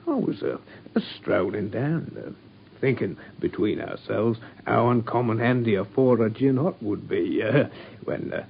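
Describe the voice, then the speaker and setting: An actor playing a gruff, rambling working-class London police constable, in a radio drama. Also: male voice, on the low side, lively intonation, a little slow. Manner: hesitant, rambling, full of uhs. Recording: dull lo-fi sound with faint hiss.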